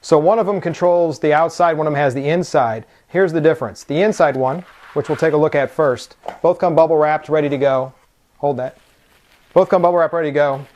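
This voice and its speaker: A man's voice: on the high side, fairly quick, a little mellow. A man reviewing a product hands-on, talking informally and explaining things step by step. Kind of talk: casual unboxing and hands-on demo talk.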